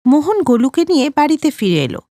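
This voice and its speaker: A woman's voice: sits high, big pitch swings, fast, warm tone, no echo, clean studio sound. A woman reading scripted narration for a story, as a storyteller.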